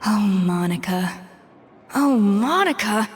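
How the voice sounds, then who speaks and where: An actress playing a proud, exacting young head chef in a video game, brusque but caring, with a crisp, emphatic delivery. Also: female voice, medium pitch, expressive, slow pace, in a large hall, soft background music. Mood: outraged.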